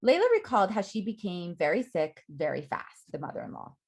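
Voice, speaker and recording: A middle-aged woman gives an academic faculty talk in a measured way, reading prepared remarks with frequent ums. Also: on the low side, very animated, breathy edge. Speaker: academic speaker.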